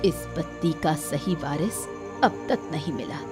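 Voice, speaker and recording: A woman plays a wise old mother from a village cartoon tale. She explains patiently in a lowish, very slow voice, with prominent music underneath. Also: lively intonation, a little mellow. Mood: calm, revealing. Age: older. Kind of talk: scripted cartoon story acting.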